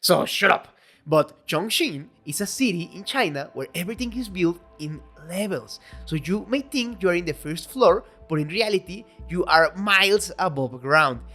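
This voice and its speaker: A woman with a non-native English accent, talking in an excitable, casual video commentary, swearing now and then and joking at her own expense. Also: lowish voice, slightly rough. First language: not English. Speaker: video essay host. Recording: faint background music.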